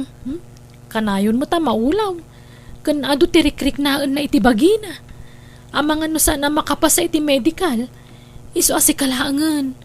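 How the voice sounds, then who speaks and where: Lady playing pushy older sister in a radio drama, really high voice, keeps coaxing and insisting. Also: lightly acted. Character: pushy, scheming married older sister urging brother abroad. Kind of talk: Ilocano radio drama acting.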